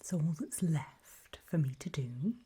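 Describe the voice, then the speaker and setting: A woman voicing a calm, ominous gatekeeper in a hushed, breathy ASMR roleplay, speaking mostly in deliberate whispers with pauses. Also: low voice, full character voice. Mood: grave, hushed. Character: calm, ominous female gatekeeper briefing travelers. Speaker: ASMR performer.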